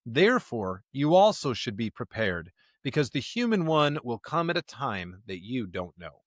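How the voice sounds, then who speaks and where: A man's voice: medium pitch, sing-song, normal speed, no room sound, clean studio sound. A man co-hosting a podcast, talking through and reading scripture in a conversational, thinking-aloud way. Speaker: podcast co-host discussing scripture. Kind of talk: conversational Bible commentary with read-aloud scripture.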